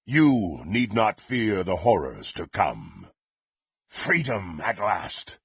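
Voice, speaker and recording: An actor playing a power-hungry, contemptuous dark warrior in a fantasy video game, with a gravelly, husky voice and haughty, theatrical, archaic phrasing. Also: male voice, sits low, sing-song, takes it slowly, no echo, studio quality, heavily acted. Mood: exultant, power-drunk.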